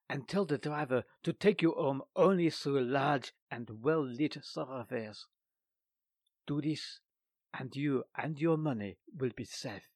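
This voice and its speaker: A man's voice: medium pitch, a little mellow, breathy edge, no echo. A man voicing a cunning old male French ex-soldier in a storybook reading, coaxing and falsely friendly.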